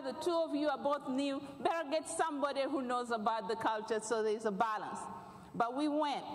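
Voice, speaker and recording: A woman's voice: high voice, a little hiss or hum. A middle-aged woman from Uganda with a Ugandan accent, preaching and praying fervently from a large church stage. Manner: fervent, repetitive praying cadence. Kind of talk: prayer and sermon. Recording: echoey church hall.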